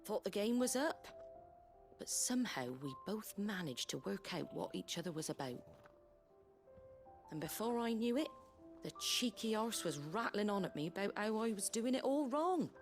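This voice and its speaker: A woman playing a brisk, plain-spoken British innkeeper in a video game, earthy, colloquial and wry, tough but kindly. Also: mid-range voice, animated, close to an everyday voice. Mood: wry, reminiscing. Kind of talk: video game character dialogue. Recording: game music behind the voice.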